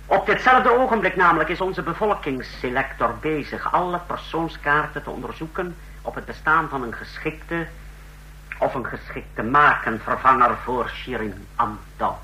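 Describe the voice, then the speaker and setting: An actor in a Dutch radio play voicing a proud, menacing secret-service officer with a clipped, formal way of briefing. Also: male voice, on the high side, expressive, normal speed, sharp tone, low-quality recording. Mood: self-assured briefing. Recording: faint hum, old radio recording.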